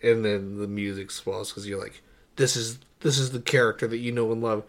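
A man's voice: on the low side, little pitch movement, normal speed. A man co-hosting a podcast, chatting casually and informally about movies. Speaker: podcast co-host. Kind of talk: casual movie review chat.

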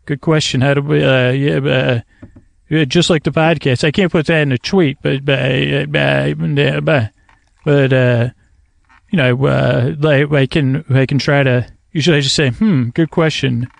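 A man hosting a bedtime podcast in a hesitant, meandering, rambling monologue with stammered repetitions and a creaky voice. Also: mid-range voice, steady tone, unhurried, no echo. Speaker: podcast host.

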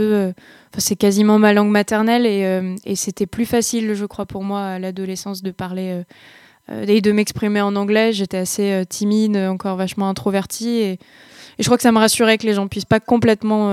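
A young woman talks through her musical path in a hesitant, searching way, full of pauses and restarts. Her voice sits at an average pitch. The sound is dry and close, with no room around it. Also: flat delivery, darkish tone, clear, resonant tone, dry recording. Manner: hesitant, many fillers and restarts. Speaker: singer-songwriter guest. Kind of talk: casual interview answers.

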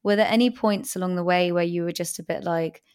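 A woman's voice: lowish voice, steady tone, a little fast, a little mellow, smooth. A woman hosting a podcast who asks long, winding interview questions full of hedging fillers. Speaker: podcast host.

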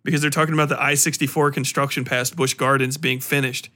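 A man talking casually as a podcast host reviewing books, slangy and full of swearing, animated and given to acting out mock exchanges. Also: mid-range voice, fast. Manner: profane, slangy, informal. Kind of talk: casual rambling book review talk.

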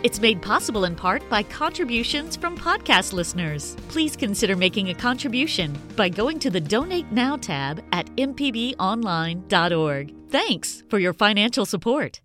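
Lively woman announcer over music. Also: mid-range voice, normal speed, hardly any pauses. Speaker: station announcer. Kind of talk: read funding announcement. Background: music bed.

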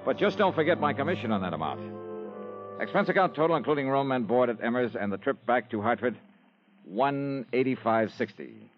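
A man's voice: medium pitch, lively intonation, a little fast, natural voice. Guy playing tough weary detective in old radio show, clipped and dry, music behind, kinda dull sound. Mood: dry, businesslike. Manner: terse, sardonic, clipped. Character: hard-boiled, world-weary male insurance investigator. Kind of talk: 1960s radio drama acting and narration. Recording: muffled old radio recording. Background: dramatic music.

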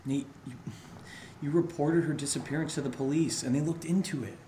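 A man's voice: fairly high pitch, fairly even intonation, a little fast, dark tone, lightly acted. A man acting an exasperated, suspicious young friend in an audio drama, speaking casually. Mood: hesitant. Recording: roomy, faint background noise.

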